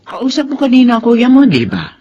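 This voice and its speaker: A woman's voice: fairly high pitch, wildly swooping pitch, brisk, mellow, lo-fi sound, slight background noise. An actress with a Filipino accent playing a watchful, inquisitive, motherly middle-aged woman in a radio drama. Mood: suspicious, concerned.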